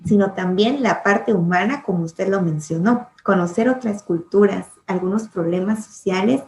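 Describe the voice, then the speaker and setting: A woman's voice: mid-range voice, normal speed, a little mellow. A woman interviewing a guest, speaking politely and formally. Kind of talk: interview questions. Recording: roomy echo with faint background noise.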